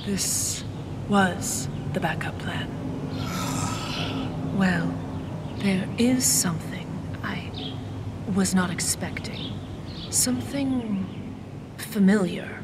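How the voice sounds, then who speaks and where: An actress playing a mysterious, ominous watcher of dreams in a fantasy audio drama, speaking in a cryptic, deliberate way. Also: female voice, medium pitch, heavy background noise. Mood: grave, secretive.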